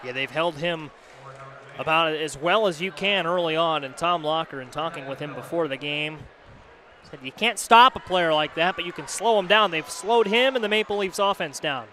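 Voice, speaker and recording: A man's voice: fairly high pitch, normal speed, bright, forceful tone. A man working as color commentator on a live radio basketball broadcast, explaining plays in a conversational way. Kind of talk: live radio game analysis. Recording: faint gym noise, bouncing balls. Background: basketball game in a gym.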